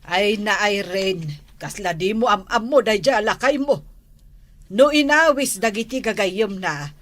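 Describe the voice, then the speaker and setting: A woman plays a gossipy older mother in a village comedy drama. She speaks slowly in a mid-pitched voice, folksy, with a northern Philippine accent. The recording is dry. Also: dry recording, lightly acted. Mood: sympathetic.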